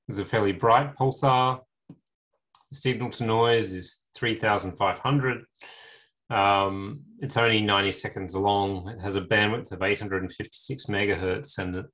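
A middle-aged man lecturing in an informal technical tutorial over a video call, explaining things step by step with pauses. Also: lowish voice, fairly bright tone. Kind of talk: informal technical tutorial narrating slides and screen demo.

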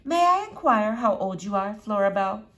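A woman's voice: medium pitch, very animated, normal speed, fairly bright tone. A woman voicing a pompous, long-winded eleven-year-old boy with oddly formal, precise speech in a children's audiobook. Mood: formal, matter-of-fact.

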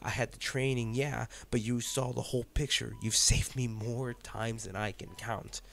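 A man's voice: medium pitch, little pitch movement, moderate pace, vocal fry, fairly bright tone, a little hiss or hum. Man acting as an intense, protective secret agent. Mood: pained, confessing. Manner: emotional, emphatic, addressing the listener directly. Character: male secret field agent, intense, protective, scolding his partner. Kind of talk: dramatic audio roleplay monologue.

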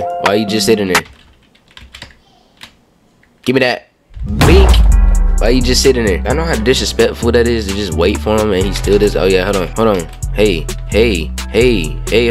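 A low, deep-voiced teenage boy rambling through casual gameplay commentary in loose slang. He keeps checking in with his listeners, and music plays clearly behind him. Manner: slangy, rambling, keeps asking "you feel me". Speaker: gaming YouTuber.

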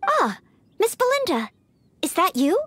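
A woman's voice: very high-pitched, huge pitch swings, a little slow, a bit bright, no room sound, full character voice. A woman playing an earnest, eager and polite young maid training as a knight in a video game, with a sweet girlish voice. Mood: polite concern.

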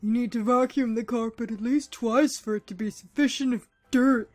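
A woman's voice: on the high side, steady tone, moderate pace, darkish tone. A young woman amateur voice actor delivering bossy, scolding lines. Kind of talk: acted scolding lines in outtakes.

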